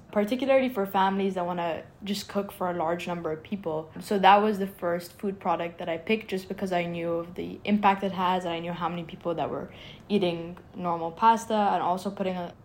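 Eager young woman from Egypt. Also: on the low side. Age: young adult. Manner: earnest, eager, many "like" fillers. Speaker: startup founder, guest. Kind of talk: casual interview answers.

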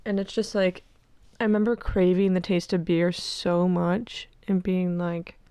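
A woman's voice: lowish voice, flat delivery, a little slow, dark tone, smooth, dry recording. Stuffy-nosed young woman rambling casually. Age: 20s. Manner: rambling, peppered with "like". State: stuffed-up nose. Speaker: podcast host. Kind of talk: casual chat.

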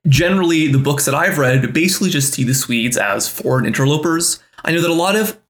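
A man's voice: fairly high pitch, expressive, a little fast, fairly bright tone. A man co-hosting a history podcast who talks in a thoughtful, conversational way and hedges with fillers. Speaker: podcast co-host.

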